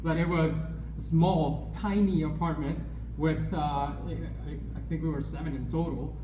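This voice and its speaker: A man from El Salvador with a Salvadoran accent, co-hosting a vlog in a halting, chuckling way. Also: a little high, steady tone, background noise. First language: Spanish. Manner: halting, many fillers and restarts, chuckling. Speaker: co-host. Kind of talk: casual chat. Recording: echoey room with music behind.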